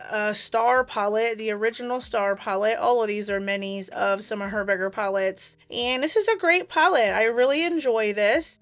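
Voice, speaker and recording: A beauty vlogger chatting casually to camera, a woman whose voice is raspy from recently losing it to a virus. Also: on the high side, fairly bright tone. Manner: chatty, fond, weighing up each item aloud. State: raspy, recovering from losing her voice.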